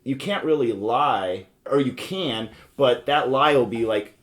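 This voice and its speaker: A man's voice: mid-range voice, measured pace. A man hosting a casual podcast about board games, explaining things in a rambling way and circling back over his points. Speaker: podcast host.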